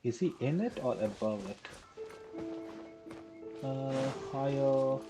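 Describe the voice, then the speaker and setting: A man's voice: mid-range voice, lively intonation, slow pace. A man giving casual live gameplay commentary as a streamer, muttering short reactions and questions to himself. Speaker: game streamer. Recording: game music behind. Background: game music.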